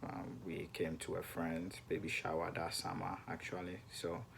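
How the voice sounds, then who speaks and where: Deep, flat, gravelly young man. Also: moderate pace, slight background noise. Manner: hesitant, many ums and restarts. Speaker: co-host of a personal finance channel. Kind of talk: casual chat to camera.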